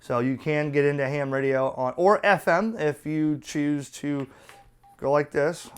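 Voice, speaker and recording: Clear-voiced man demonstrating gear. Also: fairly high pitch, measured pace. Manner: blunt, colloquial, talking step by step. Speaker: radio product reviewer and presenter. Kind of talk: casual unscripted hands-on demonstration and review.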